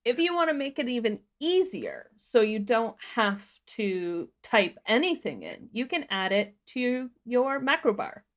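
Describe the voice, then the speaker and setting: A woman co-hosting a livestream, explaining software step by step in an informal, casual tutorial style. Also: on the high side, very animated, unhurried, few pauses. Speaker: livestream co-host demonstrating a tutorial.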